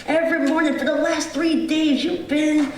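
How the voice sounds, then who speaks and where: A woman's voice: high voice, fairly even intonation, normal speed, darkish tone, lightly acted. A woman playing a folksy, rambling, good-humoured shopkeeper in an old-time radio play. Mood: chatty. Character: chatty, folksy butcher shopkeeper, a married woman. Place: theatre stage. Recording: echoey theatre, distant mic, faint noise.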